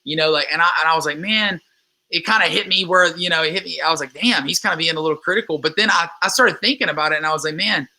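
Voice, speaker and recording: A man's voice: on the high side, fast, pushed and bright. A man in his twenties from Louisiana, a guest musician talking casually and earnestly, with many restarts and fillers. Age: 20s. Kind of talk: casual interview chat.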